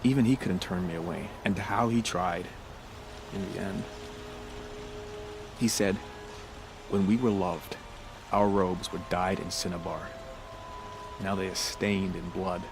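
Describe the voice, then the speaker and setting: Low, solemn priest. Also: male voice, fairly even intonation, over music. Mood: mournful, reverent. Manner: measured, formal, earnest. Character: solemn, grieving young priest, humble and remorseful. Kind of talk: video game acting.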